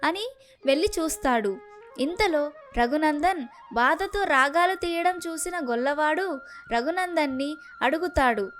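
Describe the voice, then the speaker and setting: High-voiced woman narrating a story, music behind. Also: animated, a little slow, pauses often, fairly bright tone. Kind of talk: scripted children's story narration.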